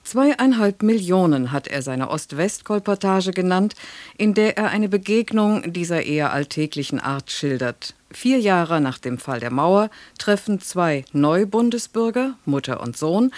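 A radio announcer of unclear sex giving a scripted, measured introduction to a radio play. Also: mid-range voice, animated, no echo.

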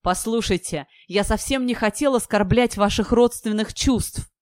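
A narrator puts on a young man for an audiobook, nervous and easily flustered. The delivery is halting, eager and pleading. It is recorded dry. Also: medium pitch, no echo, pitched above the performer's own voice, close to an everyday voice.

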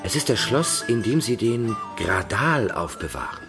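A man's voice: lowish voice, big pitch swings, moderate pace, music in the background. An actor playing an eager young Frenchman versed in old legends, in a radio drama. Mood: earnest, insistent. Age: young adult.

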